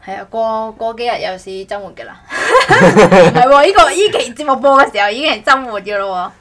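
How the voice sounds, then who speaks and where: A woman's voice: a little high, animated, fairly quick, a crowd in the background. A woman hosting a casual podcast chat in a playful, teasing way. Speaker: podcast host. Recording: clearly noisy background ambience.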